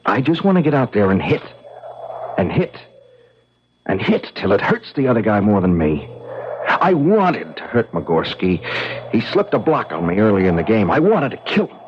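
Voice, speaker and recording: Casting: troubled pro athlete, low voice, emphatic and brooding, old radio drama, muffled noisy recording. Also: male voice. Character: troubled, hard-hitting young pro football player, husband. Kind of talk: 1970s radio drama acting with narration.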